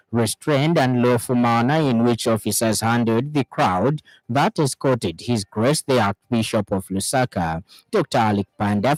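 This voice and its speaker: Low-voiced Zambian man reading radio news. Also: no echo, low-quality recording. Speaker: news presenter. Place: radio studio.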